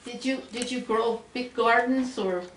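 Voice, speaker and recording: A woman's voice: a little high, measured pace, a bit bright. A woman interviewer putting short, casual questions to someone. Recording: echoey, distant, faint noise.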